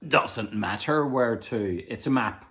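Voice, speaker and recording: A man voicing a menacing, grizzled male pirate captain who growls slow, loud threats. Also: mid-range voice, pitched above the performer's own voice.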